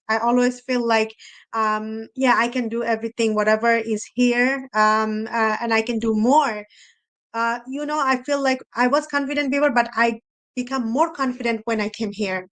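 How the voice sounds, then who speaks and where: A woman with a fairly high voice speaks off the cuff at a motivational panel. English is not her first language, and she is earnest, repeating herself and phrasing things loosely as she encourages her listeners. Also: fairly bright tone, clear, resonant tone. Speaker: host and speaker on a women entrepreneurs' live panel. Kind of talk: motivational talk, unscripted.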